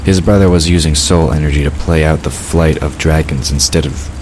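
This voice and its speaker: A man's voice: extremely low pitch, steady tone, a little fast, a little mellow, a little hiss or hum. A man reading scripted narration for a fantasy audio drama.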